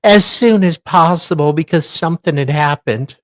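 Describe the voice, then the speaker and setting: A woman's voice: low voice, normal speed, no echo. A woman co-host reading documents aloud in a theatrical, mocking way, breaking off for casual asides. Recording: faint music behind voice. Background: faint music.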